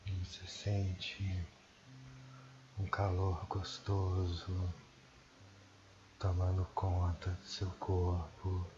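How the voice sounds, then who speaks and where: A man with a Brazilian accent leads a guided sleep meditation in a soothing, hushed manner with long pauses. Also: low-pitched, completely flat delivery, highs cut off, noisy background. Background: prominent meditation music.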